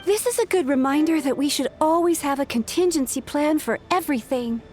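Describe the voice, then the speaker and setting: Woman as youthful video game secretary, very high pitch, eager, earnest, background music. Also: a little fast, a little mellow, natural voice. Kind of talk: scripted video game dialogue.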